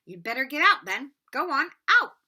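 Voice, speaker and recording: Woman putting on a sharp, high, snappish school librarian. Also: very animated, moderate pace, exaggerated voice. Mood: scolding. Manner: sharp, scolding.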